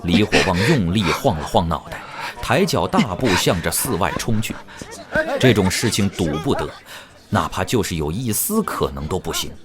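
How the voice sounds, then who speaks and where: Low-pitched, animated male narrator. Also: moderate pace, a crowd in the background. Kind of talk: dramatic scripted audiobook narration. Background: music.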